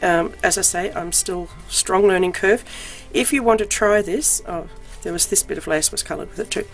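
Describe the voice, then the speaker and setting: A middle-aged woman with a croaky voice from being unwell, chatting casually about her craft projects with lots of ums. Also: medium pitch, moderate pace, soft background music, rough recording. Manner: chatty, frequent ums, self-deprecating. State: croaky voice from illness. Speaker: crafting vlogger. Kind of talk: casual show-and-tell.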